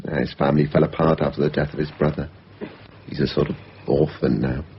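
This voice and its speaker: An actor playing an obsessive, unstable man in a radio drama, speaking haltingly and intensely. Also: very deep voice, little pitch movement. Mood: matter-of-fact. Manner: halting, repeating words, intense. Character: obsessive, unstable man who confuses films with his own memories. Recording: dull recording with faint background noise.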